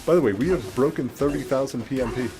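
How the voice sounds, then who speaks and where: A man's voice: mid-range voice, fast talker. Man explaining a game over music. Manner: explanatory, conversational. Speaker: gaming video host. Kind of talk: casual game commentary.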